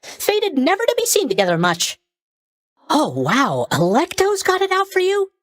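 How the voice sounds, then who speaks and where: A man's voice: very high voice, wildly swooping pitch, normal speed, a bit bright, dry recording, full character voice. A man playing a cheerful, drowsy young god of sleep in a video game, with a chatty, blithely tactless, rambling manner. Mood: chatty, bashful. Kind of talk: animated video game character voice lines.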